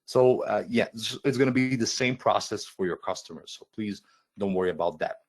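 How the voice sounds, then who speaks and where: A man with a lowish voice hosts a sales webinar over a video call. He pitches casually, repeating himself and trailing off before moving on. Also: fairly quick. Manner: loose, repetitive sales talk. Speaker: webinar host.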